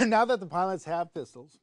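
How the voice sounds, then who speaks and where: An American man co-hosting a political talk show, insistent and often repeating his words as he argues. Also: high voice. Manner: insistent, stammering repetition of phrases. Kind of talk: opinionated political discussion.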